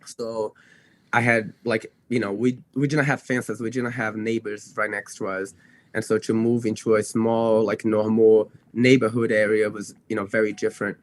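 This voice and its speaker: A man's voice: fairly low pitch, flat delivery, normal speed, dry recording. Young Brazilian-born man, dry close recording. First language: Portuguese. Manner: rambling, self-correcting, frequent "you know" and "like". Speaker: podcast guest, dog trainer. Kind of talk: casual podcast interview, telling own story.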